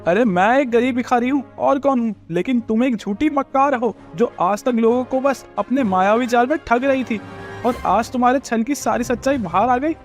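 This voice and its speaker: A man playing a scheming, spiteful rich villager in an animated moral story, with an accusing, theatrical delivery. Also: very high voice, moderate pace, soft background music.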